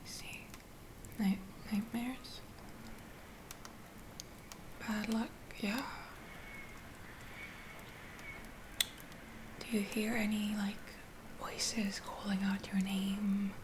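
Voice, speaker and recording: A woman playing a gentle, reassuring witch healer in a soft whispered ASMR roleplay, soothing and a little hesitant. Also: medium pitch, little pitch movement, takes it slowly, pauses often, background noise, lightly acted. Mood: concerned. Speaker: ASMR performer.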